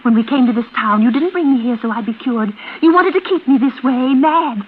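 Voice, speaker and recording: A woman's voice: high voice, a little fast, lightly acted. An actress playing a fragile, unstable, fearful and accusing young woman in overwrought 1940s radio suspense style. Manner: overwrought, plaintive. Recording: dull, faintly noisy vintage radio recording.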